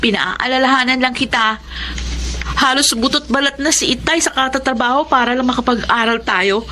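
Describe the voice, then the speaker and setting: A woman's voice: sits high, moderate pace, pushed and bright, a little hiss or hum. A woman playing a nagging, dutiful college-age older sister, scolding in a radio drama. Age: young adult. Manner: scolding, confrontational.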